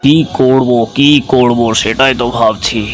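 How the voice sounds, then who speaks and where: A man's voice: medium pitch, fairly even intonation, a little slow, faint music behind, close to an everyday voice. A man voicing a sly, cocky cartoon villager who muses aloud and connives. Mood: scheming. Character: sly, scheming male villager, cocky and careless. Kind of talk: acted cartoon monologue.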